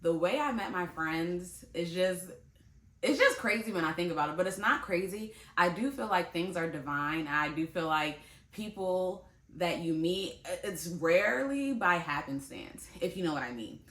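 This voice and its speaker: Chatty young woman, bright and echoey. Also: on the low side, expressive, moderate pace. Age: 20s. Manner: chatty, talks straight to viewers, laughs easily. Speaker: vlogger. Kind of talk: casual talk to camera. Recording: echoey room.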